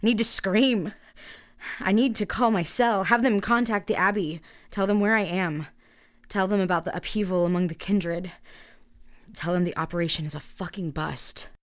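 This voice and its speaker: A woman's voice: mid-range voice, steady tone, normal speed, close to an everyday voice. A woman playing a captive young female spy in an audio drama monologue, bitter, wry and foul-mouthed, sighing between phrases. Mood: exasperated. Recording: music behind the voice.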